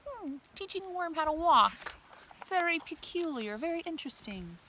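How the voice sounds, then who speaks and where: A woman's voice: very high-pitched, very animated, moderate pace, sharp tone, slight background noise. A woman reading a picture book to children expressively, with simple explanations and questions to her young listeners. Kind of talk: read-aloud storytime with asides to young listeners.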